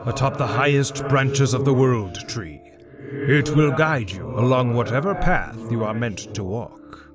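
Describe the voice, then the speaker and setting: Man acting a slow, solemn ancient god. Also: medium pitch, lively intonation, music in the background, close to an everyday voice. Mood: solemn, commanding. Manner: solemn, formal, measured. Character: grave, ancient male god of omens, stately and foreboding. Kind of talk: fantasy audio drama acting.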